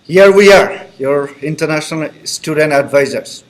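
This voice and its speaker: Guy advising new intl students, kinda high voice, friendly, repeats himself a lot. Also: normal speed. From Bangladesh. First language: Bengali. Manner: friendly, repeats reassurances, loose grammar. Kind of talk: live online information session.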